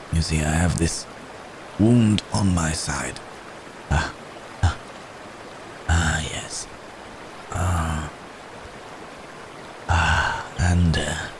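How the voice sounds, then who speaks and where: Guy doing a really deep slow werewolf man, hurt and gentle, halting, asmr roleplay with rain noise behind. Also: heavily acted. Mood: weary, polite, then pained, stoic. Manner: halting, hesitant, with pained sighs and hums. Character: wounded, gentle cursed werewolf man, weary but reassuring. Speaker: audio roleplay performer.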